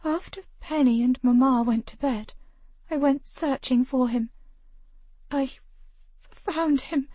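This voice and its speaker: A woman's voice: sits high, fairly even intonation, a little slow, warm tone, no room sound, pitched above the performer's own voice. Woman voicing a heartbroken young noblewoman. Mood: grieving. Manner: quavering, tearful.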